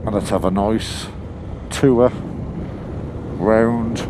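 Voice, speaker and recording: A man's voice: lowish voice, fairly even intonation, extremely slow. A British man vlogging while riding a motorcycle, talking casually and rambling in a self-mocking way. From Britain. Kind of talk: casual helmet-cam riding commentary. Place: on a moving motorcycle outdoors. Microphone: helmet-mounted mic. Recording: wind and road noise behind.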